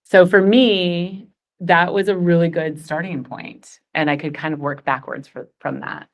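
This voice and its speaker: A woman's voice: fairly low pitch, fairly even intonation, measured pace, dull audio. A woman in her forties leading a goal-setting workshop, chatty and upbeat, laughing often. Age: 40s. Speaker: workshop facilitator.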